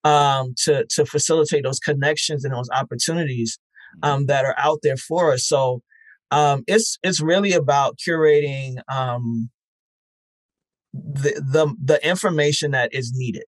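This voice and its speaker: A man's voice: medium pitch, fairly even intonation, unhurried, dry recording. A man, founder of a podcast festival, answering interview questions in a reflective way with many fillers and restarts.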